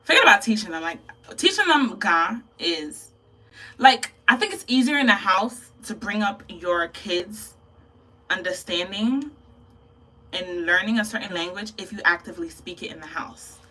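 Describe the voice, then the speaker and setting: A young woman from Ghana chatting casually to camera as a beauty vlogger, thoughtful and quick to laugh. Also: mid-range voice, expressive, bright, forceful tone, far from the mic, rough recording.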